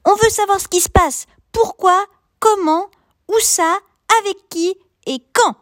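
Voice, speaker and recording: A woman's voice: very high-pitched, dry recording, studio quality, pitched above the performer's own voice. A woman voicing a stubborn young boy firing off questions in a children's story. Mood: insistent. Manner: rapid-fire questioning.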